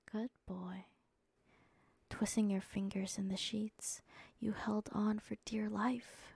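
A woman whispering an erotic story softly and dominantly into a close mic. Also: mid-range voice, gravelly, slightly rough, no echo. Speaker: erotic audio narrator.

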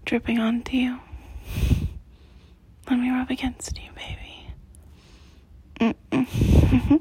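A woman performing an intimate audio roleplay in a soft, close whisper. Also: high-pitched, husky.

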